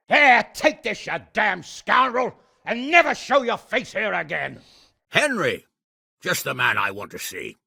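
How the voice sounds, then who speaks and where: An actor playing a gruff, quick-tempered and shrewd middle-aged medieval lord in a video game, with a gravelly, husky voice and a commanding, hearty manner. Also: male voice, sits high, pushed and bright, no echo, studio quality, full character voice. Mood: furious. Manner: commanding, colourfully cursing, hearty. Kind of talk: video game character acting.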